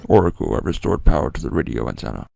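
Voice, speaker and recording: A man playing a grim, terse masked vigilante with a gravelly voice in a video game fan dub. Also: expressive, quick pace, dark tone, exaggerated voice. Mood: grim, focused. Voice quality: very deep, gravelly.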